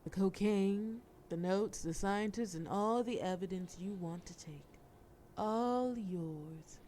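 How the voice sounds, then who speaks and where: A woman's voice: medium pitch, takes it slowly, dark tone, a little hiss or hum, natural voice. A woman playing a sly, flirtatious mafia boss in an audio roleplay, with a wry, teasing, formal way of talking. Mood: smug, coaxing. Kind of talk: scripted audio roleplay acting.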